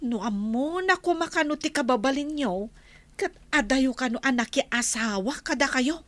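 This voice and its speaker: A woman's voice: high voice, animated, moderate pace, fairly bright tone, dry recording. A woman playing a forthright, talkative household helper in a radio drama. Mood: reporting.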